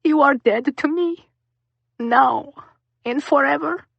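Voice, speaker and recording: Tearful mother cutting ties, steady and final, read by a woman, dry close sound. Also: high voice, slow, creaky, no echo, voice pushed up, exaggerated voice. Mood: tearful resolve. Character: heartbroken mother ending her marriage.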